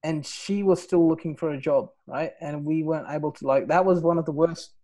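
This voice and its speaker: A man in his thirties, originally from Nepal, talks as a guest on an interview show. His voice sits a little high for a man, and he keeps tossing in "right?" and "like, you know" as he goes. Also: steady tone, fairly quick, mellow. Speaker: podcast guest. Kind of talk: casual interview, telling his life story.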